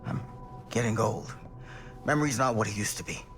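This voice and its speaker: A male actor plays an ageing sheriff in a horror game cutscene, with prominent music behind him. He sounds haunted and weary. His speech is halting and intense, that of a confused, obsessive man. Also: fairly low pitch, close to an everyday voice. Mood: weary, evasive. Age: older. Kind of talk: video game cutscene acting.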